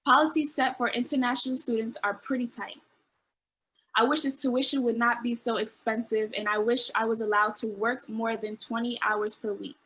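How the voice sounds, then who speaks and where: A woman's voice: fairly high pitch, little pitch movement, moderate pace, bright, forceful tone, husky. A woman hosting an event, reading prepared remarks aloud earnestly and stumbling a little over unfamiliar words. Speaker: event host reading out testimonials.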